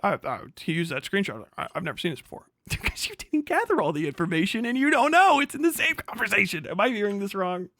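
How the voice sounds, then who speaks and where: A man giving casual, sweary livestream commentary in an exasperated, sarcastic, animated manner. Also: high-pitched, fast talker, no echo. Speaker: livestream host reacting to a recorded conversation.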